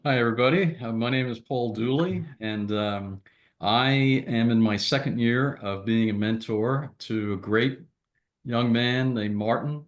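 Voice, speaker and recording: A man, middle-aged or older, introducing himself on a group video call in a halting, casual way. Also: mid-range voice. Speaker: volunteer mentor, part-time college professor.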